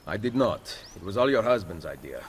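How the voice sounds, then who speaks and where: An actor playing a grave, composed Italian assassin leader in a video game, with a measured and solemn delivery. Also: male voice, low voice, big pitch swings, slight background noise. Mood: calm, resolute.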